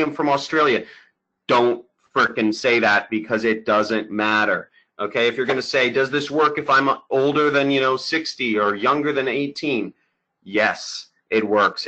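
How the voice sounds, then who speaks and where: A man presenting a sales webinar over slides, talking in an energetic, emphatic, salesy way. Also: fairly low pitch, poor audio.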